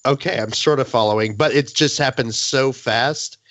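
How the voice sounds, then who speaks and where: A man's voice: mid-range voice, moderate pace, a bit bright, no echo. Dry-sounding man explaining on a gaming podcast. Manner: explanatory, acts out quoted reactions. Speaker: podcast panelist. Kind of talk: casual gaming podcast chat.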